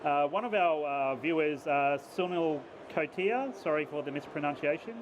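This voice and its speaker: Male co-host, slightly high voice, easygoing interviewer over loud crowd noise. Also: muffled recording. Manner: quick backchannels, frequent laughs. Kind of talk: casual live-stream interview.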